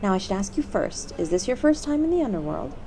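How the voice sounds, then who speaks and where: Woman playing a bubbly, lively demon hotel receptionist. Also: medium pitch, fast talker, a little hiss or hum. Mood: cheery, reassuring. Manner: bubbly, courteous customer-service patter. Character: cheery demon hotel receptionist, eager and polite, salesy. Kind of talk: scripted audio roleplay, addressing the listener as a guest.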